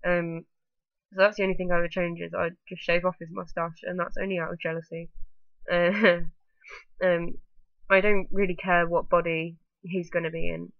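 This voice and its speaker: Woman with a cold talking to her webcam, stuffy nose, flat even voice, lots of um and like, dull dry sound. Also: lowish voice, normal speed, gravelly, dry recording. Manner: rambling, full of ums and likes. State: blocked-up nose from a bad cold. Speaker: vlogger. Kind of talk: casual talking-to-camera vlog.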